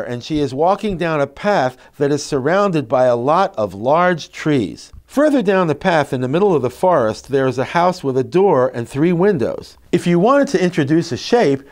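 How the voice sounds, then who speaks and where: Middle-aged male educator, expressive and emphatic, very varied melody, explaining to parents. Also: a little high. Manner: expressive, emphatic, clearly phrased. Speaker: presenter of reading tips for parents. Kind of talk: instructional narration to parents, with expressive read-aloud demonstrations. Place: indoor classroom. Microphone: lapel mic.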